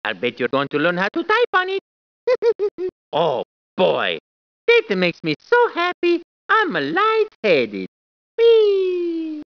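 A man's voice: very high voice, wildly swooping pitch, takes it slowly, dry recording, exaggerated voice. A man voicing a cheerful, clowning cartoon plumber in a video game, with a put-on Italian accent, squeals and laughs. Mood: playful. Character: cheerful plumber man, jokey, eager and bouncy. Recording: dull, dry game audio.

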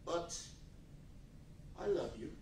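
An actor playing a pleading, ambitious young fiancé in a radio play. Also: male voice, takes it slowly, a little mellow, close to an everyday voice. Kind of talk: 1940s live radio play acting. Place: large meeting room with seated audience, far camera. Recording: echoey hall from far off, faint noise.

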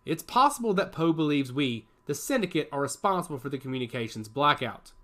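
Guy reading as a grave old rebel boss, bright voice, pitch all over. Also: expressive, brisk. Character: grave older male rebel leader.

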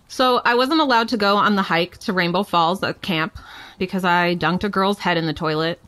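A woman's voice: on the low side, normal speed, fairly bright tone, lightly acted. An actress playing a defiant, playful young woman telling her story in a frank, wry, casual way for an audio drama. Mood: wry, blunt.

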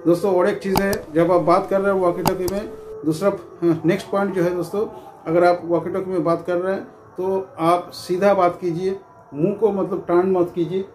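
Male instructor, middle-aged, fairly high voice with a soft-edged tone, talking viewers through points repetitively. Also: little pitch movement, normal speed. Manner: repetitive, explanatory, with frequent filler phrases. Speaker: presenter of security training videos. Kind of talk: informal instructional talk to camera. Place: small indoor room with curtains. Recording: echoey room with faint background noise.

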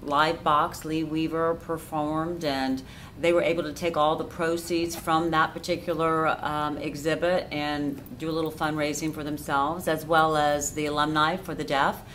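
A middle-aged woman with an American accent answers interview questions conversationally. Her voice sits low for a woman. She is recorded indoors in a cafe with some background noise. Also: flat delivery, moderate pace, fairly bright tone. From Florida, United States. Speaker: interview guest, cinema cafe owner. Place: inside a dim cafe theater.

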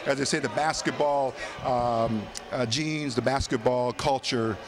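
A man's voice: mid-range voice, steady tone, a little slow. An older man, a sports reporter, asking long, rambling questions full of "uh" hesitations, into a handheld mic in an arena concourse. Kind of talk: post-game interview. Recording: crowd noise behind.

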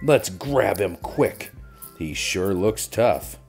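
Man playing a blustery, animated papa bear. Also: fairly low pitch, slow, gravelly, soft background music, full character voice. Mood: bold, triumphant. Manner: boastful, emphatic.

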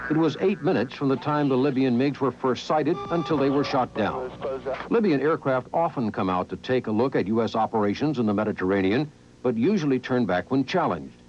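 A man's voice: mid-range voice, expressive, fairly quick. American newsman on muffled old tape. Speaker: television news correspondent. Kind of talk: scripted news narration. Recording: muffled old videotape, clear background noise.

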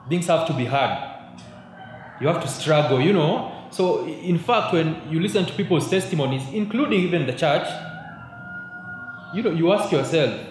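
Young Kenyan man preaching in an echoey hall. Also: fairly high pitch. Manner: mixes in Swahili, keeps checking in with "yeah?". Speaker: youth preacher. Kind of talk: conversational sermon. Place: church hall, at a pulpit. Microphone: handheld mic held close. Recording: echoey church room, faint background hum.

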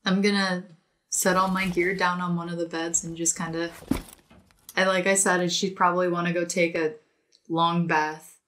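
Lower-pitched woman playing a tabletop game. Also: little pitch movement, normal speed, a bit bright. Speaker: player in a tabletop game podcast. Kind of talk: casual game chat, describing her character's actions.

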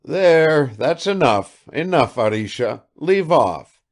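A man's voice: fairly high pitch, very animated, slow, voice pushed up, exaggerated voice. A man reading aloud and voicing a fussy, emotional elderly retired army father who tries to hide his feelings. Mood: flustered.

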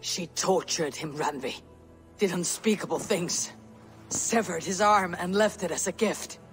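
A woman's voice: lowish voice, a little slow, lightly acted. A woman playing a grim, determined Viking warrior in a video game, speaking in a terse, commanding, grave way. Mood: distressed, grim. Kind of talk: dramatic video game cutscene acting. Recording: game music behind the voice.

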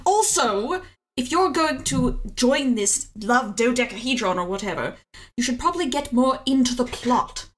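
A woman's voice: a little high, animated, moderate pace, lightly acted. A woman playing a dismissive, impatient officer in an improvised comic film dub, curt and sarcastic. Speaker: improv fandub voice actor.

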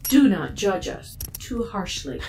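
A formal, faulty robot companion for a sci-fi audio drama. Her speech is stilted and stutters like a glitch, with swooping pitch. There is slight noise behind her. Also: sing-song, takes it slowly, vocal fry, darkish tone, heavily acted, rough recording. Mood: stilted, detached. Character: malfunctioning female robot companion, clipped and protective.

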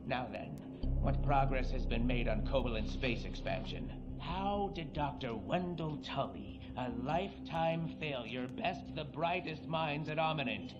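A man performs a villainous game science director, cold and full of himself. His mid-pitched voice is pompous and disdainful, set against very loud music. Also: lively intonation, measured pace, muffled recording, natural voice. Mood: haughty. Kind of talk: video game cutscene acting.

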